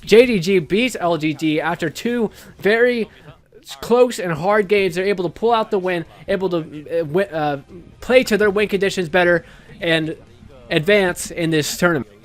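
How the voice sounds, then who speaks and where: A young man with a raspy voice, casting an esports match play-by-play by himself, often restarting his sentences and getting louder when the fights break out. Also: high voice, normal speed, faint music behind. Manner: frequent restarts and stumbles, rising to excited shouting in fights. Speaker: esports caster. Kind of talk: solo play-by-play shoutcast.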